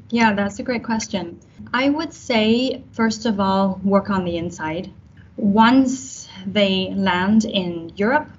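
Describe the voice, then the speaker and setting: A woman's voice: medium pitch, a little mellow, husky. Thoughtful woman from Taiwan, lo-fi. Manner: measured, thoughtful, uses corporate phrasing. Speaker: guest, marketing executive. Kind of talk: podcast interview answers. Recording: dull lo-fi with faint noise.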